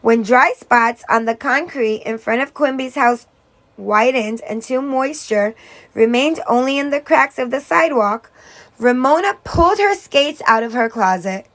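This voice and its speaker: A woman teacher reading a children's story aloud in a deliberate, expressive storytelling manner. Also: sits high.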